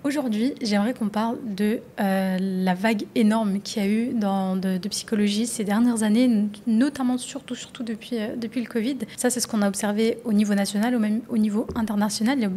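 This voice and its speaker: A woman's voice: mid-range voice, steady tone, fairly quick, hardly any pauses, dark tone, slight background noise. A young woman psychologist hosting her own podcast, talking thoughtfully and wandering off track with frequent restarts and fillers. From France. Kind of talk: solo podcast monologue.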